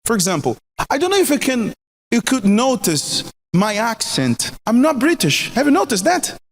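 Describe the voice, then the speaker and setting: A man from Brazil with a strong Brazilian accent, a native Portuguese speaker, giving marriage advice. He is emphatic, repeats his points and keeps asking the listener questions. His voice is high and swings widely, and it rings in a reverberant room. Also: huge pitch swings, normal speed. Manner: emphatic, repetitive, rhetorical questions. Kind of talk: recorded motivational talk.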